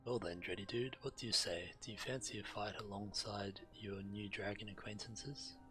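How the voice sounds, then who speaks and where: A man who streams games, reading fantasy quest dialogue aloud in character with a measured storyteller delivery. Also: on the low side, steady tone, sharp tone, faint music behind. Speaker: game streamer.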